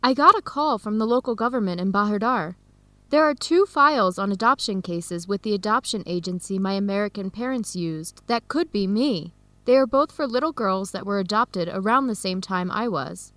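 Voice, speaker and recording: An actress playing a hopeful, sincere young woman in an educational radio drama, phrasing her words deliberately. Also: medium pitch, lively intonation, fairly bright tone, dry recording, close to an everyday voice. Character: hopeful young woman adoptee searching for her birth family.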